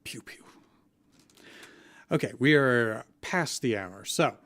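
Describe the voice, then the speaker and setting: A man's voice: medium pitch, animated, slow, a bit bright. A man hosting a casual livestream, reading out viewer comments and chatting with a panel. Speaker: host reading chat comments.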